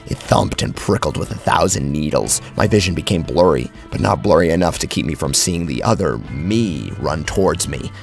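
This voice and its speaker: Casting: male horror narrator, low gravelly register, theatrical and suspenseful, swinging widely in pitch over a soft music bed. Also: expressive, moderate pace, hardly any pauses. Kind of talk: dramatic first-person horror story narration.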